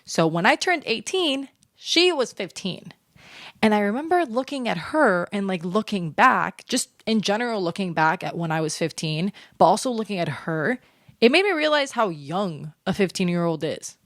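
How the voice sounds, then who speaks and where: A woman's voice: mid-range voice, normal speed, no room sound, studio quality. Chatty young woman vlogger. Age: 20s. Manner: chatty, animated, filler-heavy with lots of "like". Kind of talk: casual sit-down chat to camera.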